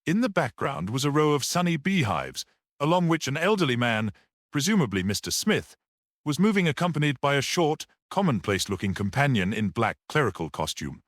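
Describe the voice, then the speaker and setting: A man's voice: mid-range voice, sing-song, a little fast, dry recording, studio quality. A man reading a classic detective story as scripted audiobook narration. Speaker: audiobook reader.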